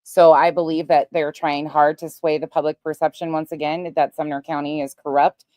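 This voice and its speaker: A woman's voice: low-pitched, little pitch movement, normal speed, darkish tone, dry recording, clean studio sound. A woman commentating on played clips on an online talk show, casual, opinionated and emphatic.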